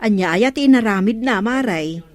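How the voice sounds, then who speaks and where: A woman's voice: on the high side, animated, normal speed, no room sound, close to an everyday voice. An actress playing an earnest, admonishing woman villager in a radio comedy drama, with an Ilocano Filipino accent. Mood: concerned.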